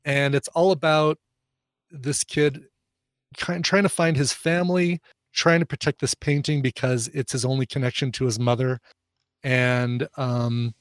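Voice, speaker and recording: A man co-hosting a casual show talks through a film pick in a rambling way, full of fillers and easy laughs. His voice sits at a middle pitch, and the sound is dry and close. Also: dry recording. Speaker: podcast co-host and film recommender. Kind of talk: casual chat.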